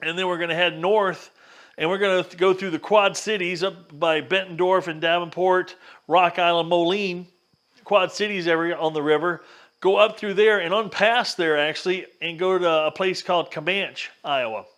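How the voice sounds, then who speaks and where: Man, somewhat high-pitched voice, plainspoken, folksy, casual hands-on how-to vlog host. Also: steady tone, moderate pace, fairly bright tone. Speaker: vlog host giving a DIY demonstration.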